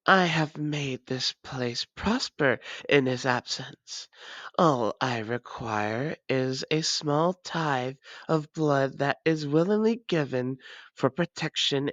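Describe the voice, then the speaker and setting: A woman playing a proud, menacing vampire ruler in a dramatic audio roleplay, taunting and imperious in a deliberate delivery. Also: extremely low pitch, no echo, muffled recording. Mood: imperious, solemn.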